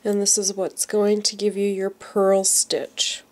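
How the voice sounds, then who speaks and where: Slow, patient woman with a cold. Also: mid-range voice, flat delivery, darkish tone. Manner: patient, repeats each step slowly. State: congested from a cold. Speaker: knitting teacher. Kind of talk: slow step-by-step craft tutorial.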